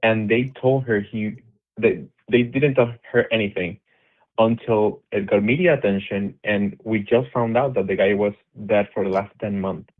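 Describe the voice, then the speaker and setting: A man from Venezuela argues his case on a call-in show, insistent and talking over the other voices. His lowish voice comes through a narrow, tinny phone line. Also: fairly even intonation, normal speed. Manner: insistent, talks over others, many restarts. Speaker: caller. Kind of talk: heated call-in debate.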